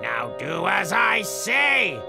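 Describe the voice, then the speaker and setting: A gravelly, bullying father who barks and scolds his son, played by a woman. His voice rises and falls widely, and his pace is slow. Storybook cartoon music plays behind him. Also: medium pitch, big pitch swings, heavily acted. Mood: angry. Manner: shouting, scolding. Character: harsh, bullying poor father of a boy.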